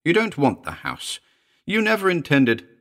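A man's voice: fairly high pitch, wildly swooping pitch, normal speed, a bit bright, voice pushed up, full character voice. A man voicing an anxious, reluctant woman housekeeper in a dramatic audiobook, querulous and fearful. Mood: dread. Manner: querulous, rising, fearful protest. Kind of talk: dramatic audiobook character reading.

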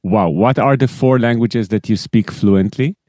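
A man's voice: fairly low pitch, unhurried, darkish tone, no room sound, clean studio sound. A man hosting a music career podcast, introducing and questioning his guest in an upbeat, eager way. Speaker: podcast host. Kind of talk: podcast interview.

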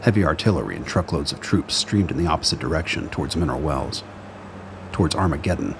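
A man with a gravelly voice reading scripted dramatic narration in a measured, brooding storytelling manner. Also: a bass voice, expressive, noisy background.

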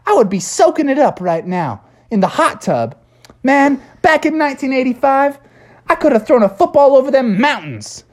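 A woman's voice: high-pitched, big pitch swings, normal speed, voice pushed up. A woman voicing a space bounty hunter who turns frantic and boastful, in an exaggerated, rambling manner.

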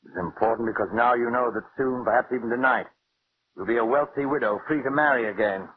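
An actor playing a bitter, taunting wealthy husband resigned to death in a theatrical 1940s radio drama style. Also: male voice, medium pitch, a little hiss or hum, close to an everyday voice. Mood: cool, sardonic. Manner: theatrical, sardonic, deliberate. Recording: muffled old radio recording with music.

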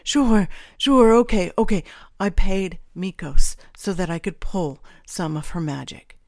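A woman's voice: fairly low pitch, a little slow, a little mellow, dry recording, clean studio sound. A woman voicing a craven old male traitor in an audiobook, whining and pleading frantically in a weak voice. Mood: whining, defensive. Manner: frantic pleading with repeated phrases.

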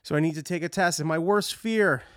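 A man's voice: a little high, fairly quick, no room sound, studio quality. A touring musician hosting his own show, talking in a rambling, candid way and swearing often, his voice congested from a cold. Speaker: touring musician and podcast host. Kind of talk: casual monologue.

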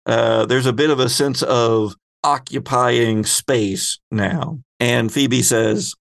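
Slow, creaky-voiced male game master. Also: medium pitch, steady tone, no room sound, clean studio sound. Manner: descriptive, deliberate. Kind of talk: narrating a tabletop game.

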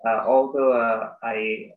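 A man from Bangladesh, an academic, answering interview questions in a thoughtful, halting way with many restarts. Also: mid-range voice, little pitch movement, reverberant, narrow, tinny audio. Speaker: business school academic. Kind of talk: conversational interview.

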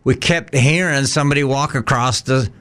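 A man's voice: mid-range voice, moderate pace, a bit bright. A man speaking as an investigator guest, giving conversational answers in an interview.

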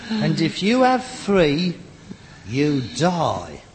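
A man's voice: fairly high pitch, wildly swooping pitch, extremely slow, heavily acted. An actor playing a sarcastic, exasperated grown son in a British sitcom, a London rag-and-bone man with a mocking manner. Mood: dismissive. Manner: mocking, sardonic. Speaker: actor in a comedy. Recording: reverberant, noisy old sitcom recording.

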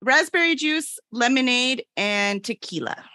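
A woman's voice: a little high, lively intonation, a little slow, sharp tone, no room sound. A woman chatting casually as a guest on a podcast. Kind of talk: casual chat.

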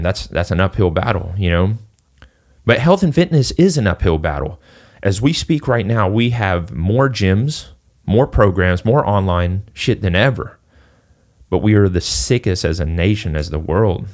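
Deep low guy from singapore, fitness coach on a podcast, rambly, lots of "you know". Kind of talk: casual podcast conversation.

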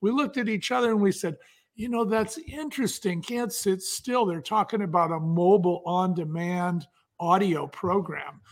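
High-pitched, enthusiastic male storyteller. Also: normal speed. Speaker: guest entrepreneur.

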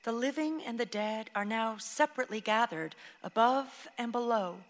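An actress serving as narrator, reading scripted stage directions for a radio play. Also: female voice, a little high, normal speed, close to an everyday voice. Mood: narrating. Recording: reverberant hall, audible background ambience noise.

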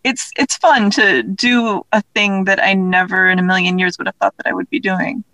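A woman's voice: medium pitch, fairly even intonation, normal speed, bright, forceful tone, no room sound. A woman speaking casually as an interview guest, chatty and self-deprecating as she talks about her own work as an author. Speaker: interview guest, author and audio drama creator.

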